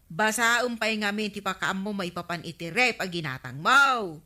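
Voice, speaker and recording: Bright, frank village woman. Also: mid-range voice, lively intonation, moderate pace. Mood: chiding. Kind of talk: Ilocano radio comedy drama acting.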